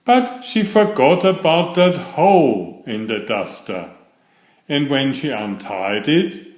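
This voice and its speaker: A man reading a children's picture book aloud as a scripted story narration. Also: fairly high pitch. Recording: roomy echo on the voice.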